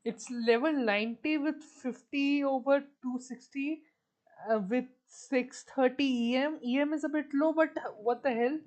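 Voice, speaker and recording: A woman's voice: high-pitched, fairly even intonation, measured pace, a little mellow. A woman chatting casually on a game livestream, thinking aloud in a hesitant way. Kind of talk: casual livestream chat while gaming.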